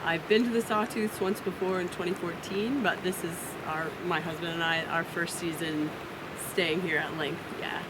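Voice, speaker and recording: A woman's voice: fairly low pitch, steady tone, moderate pace. A woman from Oklahoma casually introducing herself to a group. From Oklahoma, USA. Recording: very noisy outdoor sound, off-mic.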